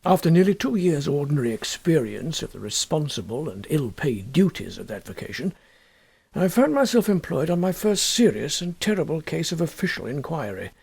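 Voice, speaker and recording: A male audiobook narrator reads with measured, deliberate expression. His voice is fairly high for a man and moves widely in pitch. It has a gravelly, creaky grain. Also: a little mellow. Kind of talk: expressive scripted first-person story narration.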